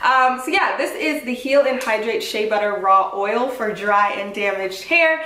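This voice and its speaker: A woman's voice: mid-range voice, animated, a little slow, sharp tone. A woman with a stuffy, congested cold, chatting casually and upbeat as she reviews a wig for her vlog, with lots of "like" and "um". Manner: upbeat and apologetic, filler-heavy with lots of "like" and "um". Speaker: beauty vlogger reviewing a wig. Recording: roomy echo, voice from a distance.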